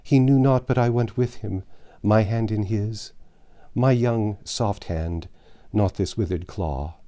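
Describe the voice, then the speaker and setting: A man's voice: fairly low pitch, slow pace, mellow. Man voicing a dying, impassioned peasant woman. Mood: grieving, fervent. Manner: impassioned archaic speech. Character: dying peasant woman, gaunt, grieving, bitter and grateful.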